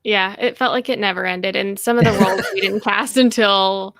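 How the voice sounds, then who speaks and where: A woman of average pitch chats informally as an interview guest. She sounds like a producer talking shop in plain, casual terms. The recording is dry, with little room sound. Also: steady tone, moderate pace, a bit bright, no echo. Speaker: guest, audio drama producer.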